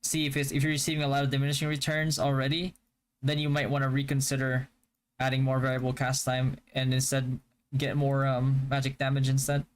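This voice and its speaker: A young man from the Philippines whose first language is Hiligaynon chats with his viewers at a middling pitch. His delivery stays fairly flat and even, easygoing and stop-start, with plenty of restarts as he answers their questions. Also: fairly quick. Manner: relaxed, stop-start, with frequent fillers and restarts. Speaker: game streamer. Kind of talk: casual live-stream chat answering viewers.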